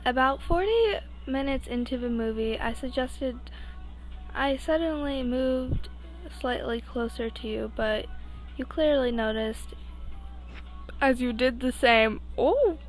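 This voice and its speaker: Young woman reading fanfic out loud, kinda high voice, slow, keeps tripping over words and adding asides. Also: faint music behind, poor audio. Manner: halting, stumbling over words. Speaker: amateur podfic reader. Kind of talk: informal fan fiction read-aloud with asides.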